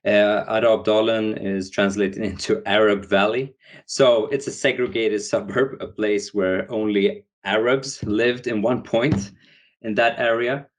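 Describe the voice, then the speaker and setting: Swedish-raised young guy, podcast guest, lowish voice, stop-start phrasing. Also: fairly even intonation, measured pace. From Sweden. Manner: hesitant, many restarts and fillers. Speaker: guest, founder. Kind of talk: podcast interview.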